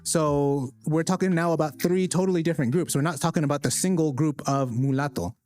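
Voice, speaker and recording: A man's voice: a little high, a little fast, darkish tone. A man hosting a casual live discussion, explaining his view with many fillers and hesitations. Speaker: host.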